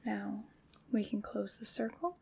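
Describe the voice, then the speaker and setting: A woman playing a gentle, solemn witch in an ASMR roleplay, whispering in a breathy, soothing, ceremonial way. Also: mid-range voice, lively intonation, unhurried, exaggerated voice. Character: gentle, solemn witch leading a Samhain ritual.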